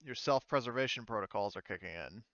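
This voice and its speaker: Clear-voiced man running a tabletop game. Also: on the low side, animated, fast, fairly bright tone, no echo, muffled recording. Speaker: game master. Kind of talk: casual tabletop game narration and rules talk.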